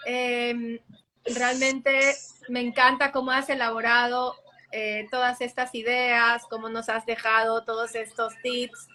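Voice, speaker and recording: A woman's voice: a little high, little pitch movement, slow, sharp tone. A woman hosting a live interview, enthusiastically affirming her guest and reading out viewer comments. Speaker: interviewer host.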